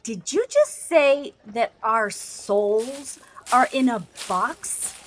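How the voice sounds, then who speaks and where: Stubborn, skeptical frontier heroine, high and lively, blunt delivery, Western audio drama. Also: female voice, extremely slow, slight background noise. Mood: startled, suspicious. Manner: blunt, defiant. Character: defiant, headstrong, skeptical half-dead frontier woman. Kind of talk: scripted audio drama acting.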